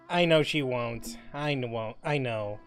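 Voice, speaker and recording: A man commentating casually on a game as a streamer, jokey and often repeating his phrases. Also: medium pitch.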